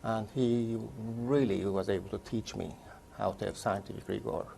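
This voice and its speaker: A man in his sixties from Turkey, recounting his career in a measured, step-by-step way during an oral history interview. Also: fairly low pitch, fairly even intonation, creaky, dark tone, slight background noise. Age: 60s. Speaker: interviewee, neurologist.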